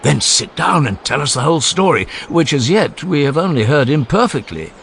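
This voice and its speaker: Eager Greek friend for an audiobook drama, played by a male reader, raised pitch, animated and exclamatory, slight background noise. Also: normal speed, creaky, heavily acted. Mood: curious, urgent. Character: eager excited Greek man greeting and questioning a friend.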